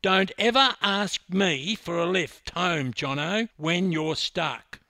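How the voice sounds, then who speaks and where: Man acting out an indignant, high-pitched young swimmer. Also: takes it slowly, creaky, sharp tone, dry recording, clean studio sound, full character voice. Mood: angry yelling. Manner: whining, exclamatory, badgering. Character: indignant, impatient young male swimmer, pleading and yelling.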